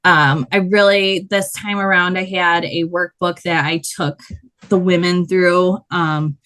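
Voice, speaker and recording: Loud animated woman with a low-set voice. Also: measured pace. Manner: animated, laughs often. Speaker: guest, body painter. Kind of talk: casual storytelling interview.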